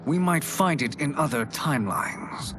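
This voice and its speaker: An actor playing a shrewd sorcerer in a game, reasoning in a deliberate, probing manner. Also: male voice, a little high, animated, music in the background. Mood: probing, persuasive. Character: shrewd, speculative male sorcerer.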